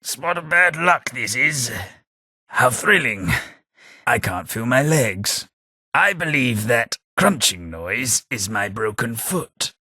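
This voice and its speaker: An actor playing a sardonic, well-spoken tithe collector in a video game, with a husky, understated delivery and dry humour. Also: male voice, mid-range voice, sing-song, takes it slowly, pushed and bright, dry recording, exaggerated voice. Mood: wry. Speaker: game companion character. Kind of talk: game voice lines.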